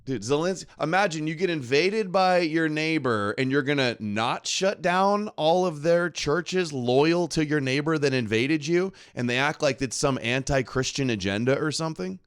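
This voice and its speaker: A man reacts to a clip on his own show, mocking and arguing with it. His voice is smooth and resonant, and his tone stays sarcastic throughout. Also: a little high, lively intonation, normal speed, hardly any pauses. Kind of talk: live reaction commentary.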